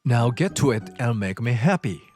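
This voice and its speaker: A man's voice: medium pitch, animated, moderate pace, darkish tone, natural voice. A man voicing a childish, selfish, demanding husband in a dubbed story drama, whiny, belittling and blustering. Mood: petulant, belittling. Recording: background music under the voice.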